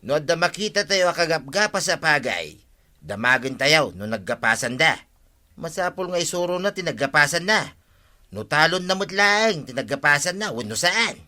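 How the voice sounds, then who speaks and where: A man's voice: fairly high pitch, big pitch swings, normal speed, pushed and bright, full character voice. A man with an Ilocano Filipino accent acting a loyal village buddy in a radio comedy-drama. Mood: cooperative.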